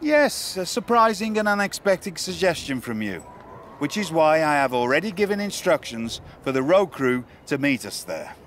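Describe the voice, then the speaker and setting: An actor playing a dry-witted wrestling tour organizer with a formal, wry way of speaking, in a video game cutscene. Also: male voice, fairly high pitch, big pitch swings, normal speed, slight background noise.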